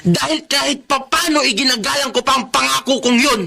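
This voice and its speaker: A man's voice: extremely high pitch, a little fast, creaky, noisy background. A man playing an arrogant, philandering husband in a radio drama, menacing, accusatory and taunting. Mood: defiant, menacing. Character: arrogant, philandering husband, quick to anger and threats. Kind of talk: Tagalog radio drama acting.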